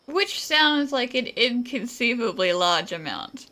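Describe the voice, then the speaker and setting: Bright, high-voiced woman gamer. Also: lively intonation, unhurried. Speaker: gamer commenting on gameplay. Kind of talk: casual game commentary.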